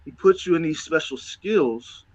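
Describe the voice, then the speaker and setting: A man's voice: a little high, expressive, measured pace, a little mellow, no room sound, dull audio. A man talking casually and motivationally as a show host, emphatic and testifying, repeating phrases for stress.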